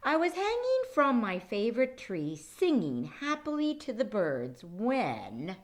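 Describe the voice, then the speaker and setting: An indignant little furry beast , voiced by a woman pours out his storybook grievances. He is theatrical and self-pitying, with slow, emphatic phrasing and big swoops in pitch. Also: wildly swooping pitch. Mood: cheerful. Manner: dramatic, aggrieved, emphatic.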